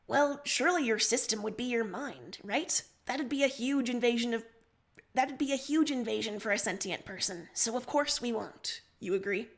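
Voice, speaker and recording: Awkward, sincere young alien ruler female reader playing him, high voice, read-aloud storytelling. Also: moderate pace, gravelly. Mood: earnest. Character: earnest, awkward young male alien emperor.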